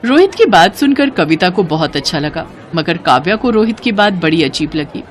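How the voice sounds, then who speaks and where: A woman's voice: on the low side, very animated, normal speed. A woman reading scripted narration for a cartoon moral story as the storyteller.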